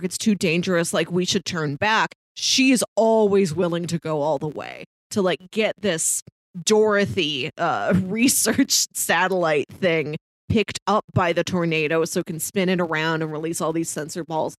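A woman's voice: fairly low pitch, normal speed, dry recording. A woman co-hosting a casual, conversational discussion about film. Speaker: podcast co-host.

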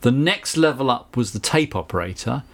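A middle-aged man with a Southern English accent, a recording engineer telling anecdotes in a relaxed interview, chatty with frequent asides. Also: mid-range voice, moderate pace. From England. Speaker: guest, recording engineer and producer.

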